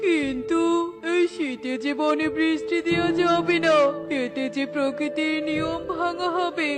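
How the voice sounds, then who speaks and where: A woman voicing gentle, helpful male figures in a cartoon in a kindly, reassuring manner. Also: very high-pitched, fairly even intonation, a little slow, loud music behind the voice. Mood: benevolent. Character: cloud king and helpful bird husband, gentle male figures.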